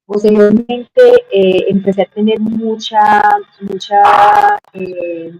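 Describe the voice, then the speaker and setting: A middle-aged woman with a Colombian Spanish accent tells her life story as an interview guest, reflective and unhurried, with many hesitations. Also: mid-range voice, a little mellow, rough recording.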